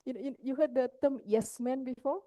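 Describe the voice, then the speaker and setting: Dark-voiced woman teaching. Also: high-pitched, a little fast, no echo. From Indonesia. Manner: engaging, checks in with listeners often. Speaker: psychologist giving a talk. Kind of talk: mental health workshop talk.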